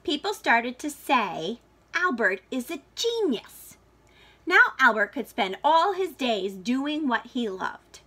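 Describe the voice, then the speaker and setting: Bright, high-voiced woman reading a storybook aloud. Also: husky. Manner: expressive, deliberate emphasis with drawn-out key words. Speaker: teacher reading a picture book aloud. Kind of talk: read-aloud storytelling for children.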